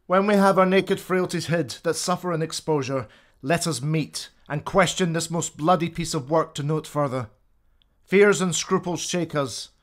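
A man's voice: fairly high pitch, moderate pace, lightly acted. A man playing a wary, dutiful noble general in a classical Shakespearean radio drama. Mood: solemn, dutiful.

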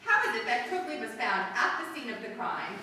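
A woman's voice: on the high side, big pitch swings, a little fast, sharp tone, slight background noise. A woman playing an exasperated, formal judge in a live stage recreation of a 1930s radio comedy. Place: community hall stage with live audience. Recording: echoey hall from far off.

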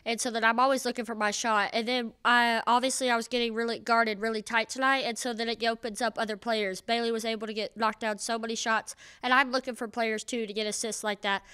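Brief: young female athlete, bright crisp voice, eager run-on answers, dry close desk mic. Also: a little high, flat delivery, fast talker, few pauses, no echo. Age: young adult. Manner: eager, upbeat, run-on sentences. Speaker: college basketball player. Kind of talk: post-game press conference answers. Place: press room at a table. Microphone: desk mic on a stand.

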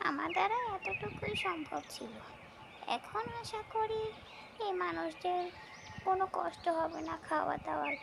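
A woman voicing a kind-hearted female ghost in a Bengali cartoon, with a creaky voice. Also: very high voice, normal speed, noisy background, full character voice. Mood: pleased, caring. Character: kind-hearted female shakchunni ghost watching over villagers. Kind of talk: Bengali cartoon character voice acting.

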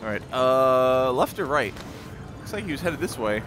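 A man giving excited live gameplay commentary in short, exclamatory bursts, talking to himself as he plays. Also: mid-range voice, normal speed. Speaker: gamer playing and commenting. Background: game music.

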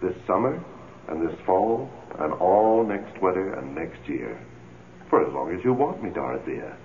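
A lovesick, coaxing ghost of a cheating husband in an old radio play. The voice is deep and unhurried, pleading theatrically. It sounds boxed-in and tinny, like an old broadcast. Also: male voice, very animated, dark tone, slight background noise, full character voice. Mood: amorous, coaxing. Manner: pleading, smooth-talking, theatrical. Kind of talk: old radio drama acting.

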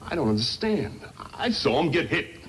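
An actor playing a cocky hired gunman in a 1950s radio western, with folksy, rough grammar. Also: on the high side, sing-song, normal speed, fairly bright tone. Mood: alarmed, baffled. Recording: noisy vintage 1950s radio recording.

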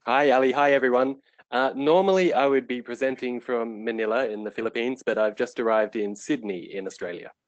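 A man presenting a webinar, explaining his subject in an earnest, measured way over a remote call microphone. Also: medium pitch, dry recording, lo-fi sound.

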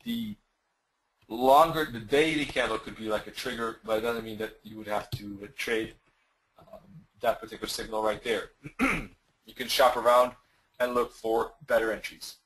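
A man presenting a trading webinar in a loose, conversational way, losing his voice and clearing his throat now and then. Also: mid-range voice, moderate pace, fairly bright tone, rough recording. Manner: loose, conversational, with many fillers and restarts. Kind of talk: screen-share webinar explanation.

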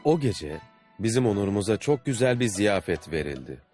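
A man's voice: lowish voice, big pitch swings, fairly quick, darkish tone. A man playing an earnest, adventurous traveller who formally narrates his journeys in a dubbed cartoon. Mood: earnest storytelling. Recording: music behind the voice. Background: music.

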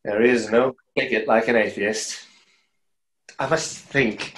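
A young man acts a tormented, proud conjurer in a stage play. His medium-pitched voice is earnest and dramatic, heavy with remorse. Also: steady tone, slow, natural voice, low-quality recording. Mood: grave. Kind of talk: online play reading. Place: small room, indoors.

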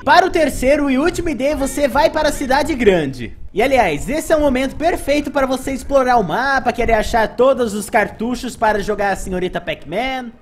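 A young Brazilian-accented man with a high voice narrates a video game. The style is casual storytelling, with music playing behind him. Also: animated, fairly quick, hardly any pauses. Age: young adult.

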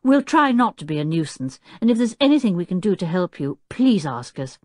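Storybook role: courteous, earnest teenage lad acted by a woman, keen to placate, with animated pitch. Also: fairly quick, no echo, clean studio sound. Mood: polite, earnest. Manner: polite, earnest. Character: polite, earnest, well-mannered teenage boy, eager to placate.

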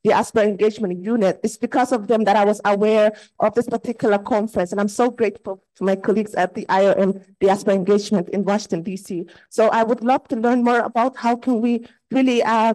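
A woman from Somalia speaks from the floor of a conference hall, introducing herself and asking her question in an earnest, personal way. Her voice sits at a middle pitch. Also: steady tone, fast, hardly any pauses, dark tone. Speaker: diaspora advocate asking a question. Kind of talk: spontaneous audience question at a conference.

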